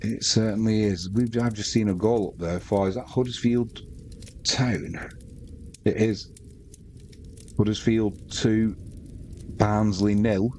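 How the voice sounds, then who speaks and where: A man's voice: on the low side, steady tone, takes it slowly, pauses often, a little mellow, slight background noise. Chatty Manx man commentating live. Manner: rambling, chatty, reads out viewer comments. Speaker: live stream sports host. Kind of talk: casual live match commentary and chat.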